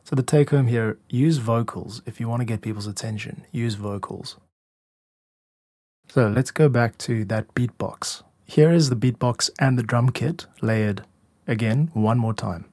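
A man's voice: mid-range voice, unhurried, a little mellow, no room sound. A man giving an informal, instructive tutorial as a music producer and podcast host. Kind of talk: explanatory tutorial narration.

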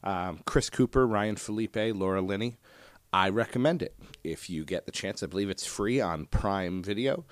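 Male solo podcast host, low soothing voice, easygoing and chatty, dry booth sound. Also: moderate pace, no echo. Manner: relaxed, digressive, frequent "uh" and "um". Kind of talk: casual solo podcast talk.

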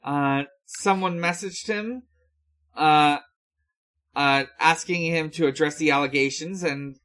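A podcast co-host casually relaying news in a hesitant, halting way. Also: mid-range voice, a bit bright.

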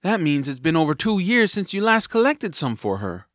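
Man playing an excitable, high-pitched boy hero. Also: moderate pace, dry recording, clean studio sound. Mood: gentle, earnest. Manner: animated, earnest, playful. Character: excitable, kind-hearted young boy hero. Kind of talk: family audio drama acting.

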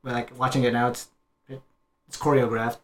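A man's voice: medium pitch, level tone, a little fast. Man co-hosting an informal review chat. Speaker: co-host of a movie review show. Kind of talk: casual group review chat.

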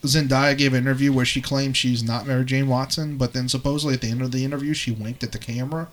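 A man co-hosting a podcast, chatting about pop culture in an animated, conversational way. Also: medium pitch, quick pace. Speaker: podcast co-host. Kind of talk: casual pop-culture chat.

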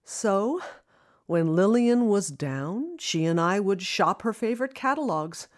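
A woman narrating a scripted first-person audio drama in an expressive, reflective storytelling manner. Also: mid-range voice, measured pace. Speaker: narrator, singing student.

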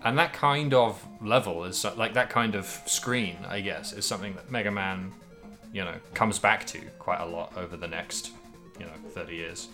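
A man's voice: low-pitched, normal speed, over music. A man co-hosting a podcast, chatting casually about a video game in a rambling, think-aloud way. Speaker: podcast co-host.

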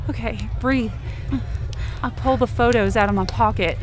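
A woman acts out a determined, desperate young female rescuer in a supernatural fiction podcast. Her voice sits somewhat high. She narrates in the present tense with intense, emotive urgency. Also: normal speed, close to an everyday voice. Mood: focused, strained. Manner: intense, emotive, swearing under strain. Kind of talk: dramatic first-person present-tense audio drama narration.

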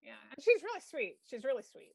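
Female host, New York accent, slightly high voice, loud and outgoing, chatty, dry close sound. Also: big pitch swings, fast talker, vocal fry, no echo. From New York, United States. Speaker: show host. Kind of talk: casual chat.

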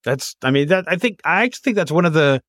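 A man's voice: fairly high pitch, animated, fast talker, a bit bright, no echo. Thoughtful male host, dry close sound. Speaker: interview host. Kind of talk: reflective interview conversation.

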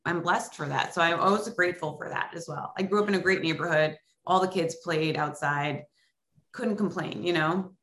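A woman's voice: fairly low pitch, sharp tone. A middle-aged woman from Long Island, chatting as a podcast guest over a remote call, with lots of likes and ums. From Long Island, New York. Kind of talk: casual interview chat.

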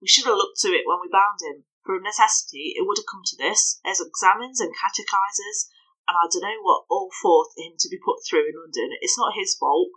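A woman's voice: mid-range voice, brisk, pushed and bright, low-quality recording. A woman voicing an earnest young daughter, speaking eagerly and pleadingly.

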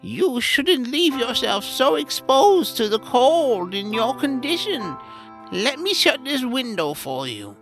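A woman's voice: sits high, sing-song, slow, soft background music, full character voice. A woman voicing a doting, fussy old grandmother who coddles tenderly in a family fantasy audio drama. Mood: tender, fussing.

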